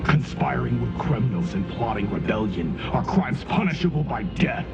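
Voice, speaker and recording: A man's voice: mid-range voice, big pitch swings, moderate pace, vocal fry. A man playing a menacing, authoritarian soldier in a game, speaking coldly and threateningly. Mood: cold menace. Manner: threatening, cold. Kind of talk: game voice acting. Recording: muffled, loud music over it.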